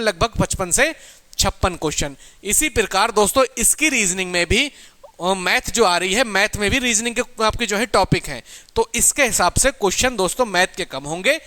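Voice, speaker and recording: A man's voice: sits high, bright, forceful tone. A man teaching an informal exam strategy lesson, repeating points and answering his own questions. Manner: repetitive, asks questions and answers them himself.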